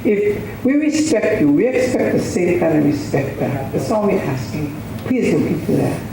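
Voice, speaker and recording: A woman's voice: fairly low pitch, expressive, moderate pace. Firm older woman in an echoey hall. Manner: firm, pleading, repeats points. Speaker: public commenter. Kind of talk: pleading public comment. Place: hotel ballroom hearing. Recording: echoey hall picked up from far off, with background noise.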